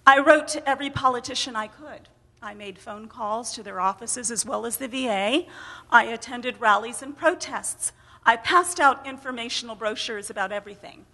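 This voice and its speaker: A woman's voice: a little high, normal speed, bright, forceful tone. An American woman giving an emphatic, theatrical dramatic reading of a first-person story. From United States. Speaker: reader at a storytelling event. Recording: reverberant hall.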